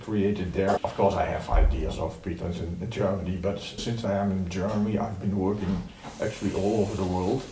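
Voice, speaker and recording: A man's voice: sits low, steady tone, normal speed, background noise. An older man from the Netherlands, a retired professor, answering interview questions in a plainspoken, direct way with dry humor. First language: Dutch. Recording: roomy sound from a distant mic.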